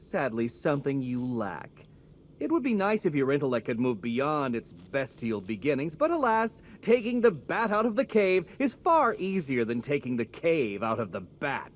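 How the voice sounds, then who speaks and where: Pompous, manic supervillain genius, thin audio. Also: male voice, fairly high pitch, huge pitch swings, moderate pace, narrow, tinny audio, a little hiss or hum. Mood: bitter sneering. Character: arrogant, manic male supervillain genius, petulant and obsessive. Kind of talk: video game villain acting.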